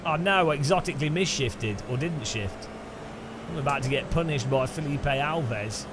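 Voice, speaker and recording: A man with an English Midlands accent, commentating a sim racing live stream with jokey, animated banter and sudden high-pitched outbursts. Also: moderate pace, background noise.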